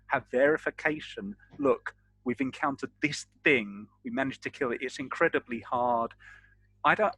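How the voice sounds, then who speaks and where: A man's voice: medium pitch, a bit bright, no echo, rough recording. A man playing a captain in a tabletop role-playing session, speaking in an insistent, argumentative way.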